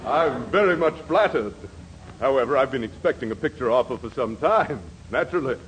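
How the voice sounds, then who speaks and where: A man's voice: medium pitch, expressive, brisk, lightly acted. An actor playing a pompous, vain male thespian in a 1940s radio comedy, with haughty, formal diction. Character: pompous, vain stage actor hungry for stardom. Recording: noisy vintage 1940s radio recording.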